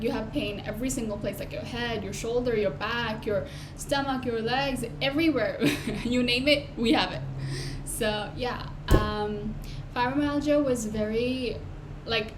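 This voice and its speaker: An eighteen-year-old woman talking to camera in an unscripted, rambling way, full of "like" and "um" fillers and restarts. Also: on the high side. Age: late teens. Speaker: vlogger. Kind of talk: unscripted casual talk to camera. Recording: noisy home recording.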